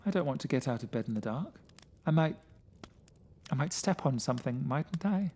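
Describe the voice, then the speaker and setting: A man's voice: mid-range voice, lively intonation, normal speed, a little mellow, husky. A man voicing a nervous, childlike, frightened man who stammers and pleads in a gothic horror story. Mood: anxious. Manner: pleading, stammering, repeats himself. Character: terrified nervous man, childlike in his fear of the dark.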